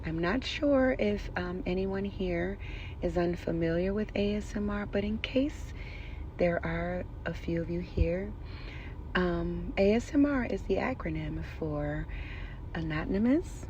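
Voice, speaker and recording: A woman's voice: fairly low pitch, slow pace, dark tone, a little hiss or hum. A woman giving a casual, explanatory voiceover, talking informally and directly to her listeners as an ASMR creator. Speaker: ASMR creator and scratch therapy practitioner.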